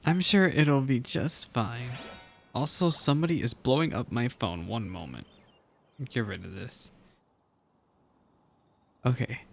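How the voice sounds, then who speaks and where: A woman's voice: rumbling low, measured pace, vocal fry, pauses often, faint music behind. A sleepy woman giving casual, informal live commentary as she streams a game. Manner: informal, drawling asides, says "y'all". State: sleepy, yawning.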